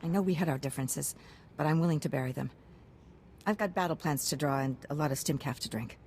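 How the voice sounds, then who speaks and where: A woman's voice: low-pitched, fast, a little mellow, a little hiss or hum. A woman playing a pragmatic, hard-nosed military science commander in a video game, clipped and businesslike. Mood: weary, conciliatory. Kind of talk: video game character acting.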